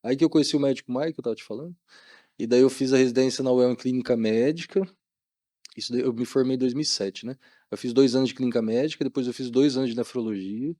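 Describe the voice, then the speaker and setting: A man's voice: medium pitch, fairly even intonation, quick pace, mellow, dry recording, clean studio sound. A middle-aged Brazilian man chatting informally as a podcast guest, using lots of colloquial tags. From Maringá, Brazil. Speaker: guest, doctor. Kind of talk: casual podcast interview talk.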